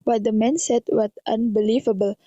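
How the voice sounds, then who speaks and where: A young woman with an Indonesian accent narrates an amateur radio drama. Her voice is fairly high and mellow, with a stilted read-aloud delivery. The recording is dull. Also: steady tone, moderate pace, dry recording.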